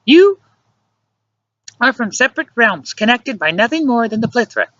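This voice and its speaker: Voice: mid-range voice, big pitch swings, moderate pace, fairly bright tone, no echo, lo-fi sound, voice pushed up. A narrator voicing an exasperated, bossy woman who lectures sharply in formal wording, in an audiobook story. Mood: insistent reasoning. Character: exasperated, scolding adult woman, fierce and bossy lover.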